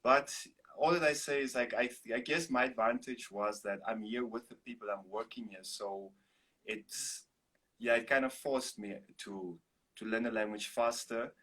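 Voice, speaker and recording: A young man from Namibia whose first language is Afrikaans, chatting in a relaxed, rambling way as a guest on a video-call interview. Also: mid-range voice, moderate pace, poor audio. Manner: relaxed, rambling with restarts and laughs. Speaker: guest, singer-songwriter.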